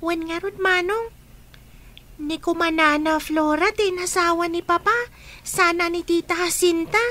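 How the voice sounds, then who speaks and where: High, bright-voiced orphaned child. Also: female voice, steady tone, a little slow, full character voice. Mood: plaintive. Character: orphaned young child, anxious, questioning, respectful. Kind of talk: radio drama acting in Ilocano.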